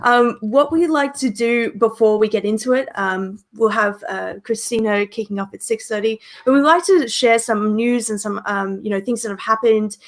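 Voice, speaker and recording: A woman's voice: fairly high pitch, normal speed, hardly any pauses. A young woman co-hosting a livestreamed meetup over a video call, chatty with many ums and likes. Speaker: meetup co-host.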